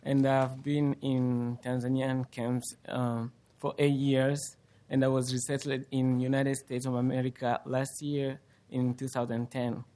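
A man's voice: mid-range voice, little pitch movement, unhurried, dark tone. A teenage young man from Congo with a Congolese accent, a student speaking hesitantly in public. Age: teens. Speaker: high school student giving testimony. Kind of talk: public speech.

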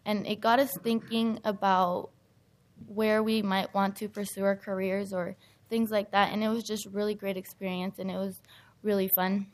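A woman's voice: medium pitch, little pitch movement, moderate pace. Teenage girl speaking evenly in public. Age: teens. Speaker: high school student giving testimony. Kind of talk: prepared public speech.

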